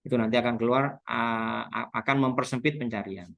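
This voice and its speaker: A man's voice: mid-range voice, steady tone, a little fast, creaky, a bit bright. Indonesian man with Javanese accent, explaining step by step. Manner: explains step by step, spelling out terms. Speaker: webinar speaker on local history. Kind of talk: informal explanatory webinar talk.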